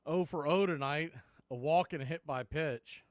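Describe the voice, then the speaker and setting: Higher-pitched man calling baseball play-by-play. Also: moderate pace, no room sound, clean studio sound. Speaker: baseball play-by-play announcer and show host. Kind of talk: live baseball play-by-play commentary.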